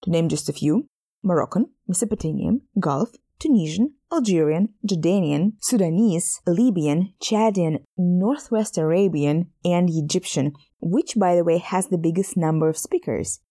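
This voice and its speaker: Woman, podcast host, playful scripted narration, fry in the voice, lowish pitch. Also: moderate pace, mellow. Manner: playful, chatty, addresses listener.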